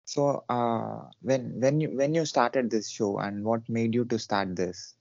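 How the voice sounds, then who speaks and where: A man hosting an interview, putting his questions in a halting, conversational way. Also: mid-range voice, fairly even intonation, creaky, darkish tone, no room sound, dull audio. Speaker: interviewer, podcast and channel host.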